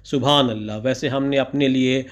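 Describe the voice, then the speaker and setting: A man's voice: mid-range voice, fairly even intonation, moderate pace. A man narrating his weekend outing in a voice-over, with flowery phrasing, recited couplets and words of praise. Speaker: vlogger narrating a food outing.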